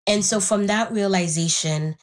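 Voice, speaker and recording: A woman whose first language is Haitian Creole, speaking thoughtfully in a personal conversation and pausing to search for words. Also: mid-range voice. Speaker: guest.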